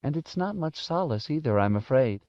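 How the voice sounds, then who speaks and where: A man voicing a kindly, soft-spoken old woman housekeeper with a sorrowful, fussing manner in an audiobook. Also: on the high side, animated, normal speed, dry recording, highs cut off, voice pushed up. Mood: sad, tender. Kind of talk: audiobook character voice.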